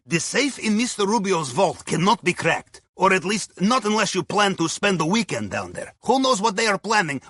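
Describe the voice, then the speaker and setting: Husky, bright-voiced Russian heist planner. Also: male voice, high voice, no echo, clean studio sound, exaggerated voice. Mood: wry, businesslike. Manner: wry, folksy, drops articles. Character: wry, upbeat male submarine captain's sidekick and heist planner. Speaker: mission guide. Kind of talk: scripted video game voice lines.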